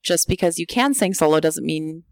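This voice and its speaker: Young woman singer, thoughtful, gravelly edges, smooth tone. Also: sits low, normal speed, no room sound, clean studio sound. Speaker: member of a high school a cappella group.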